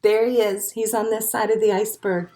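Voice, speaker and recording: A woman's voice: medium pitch, little pitch movement, brisk, warm tone. A woman who hosts children's storytime, reading aloud expressively and speaking simply and encouragingly to small children. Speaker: children's storytime librarian and host. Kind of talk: reading a picture book aloud to young children, with songs and asides.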